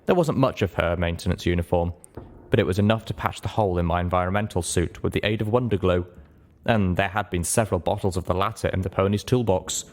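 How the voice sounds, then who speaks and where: A man's voice: deep voice, quick pace. A man reading a first-person story aloud as a dramatic audiobook narration. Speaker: audiobook reader.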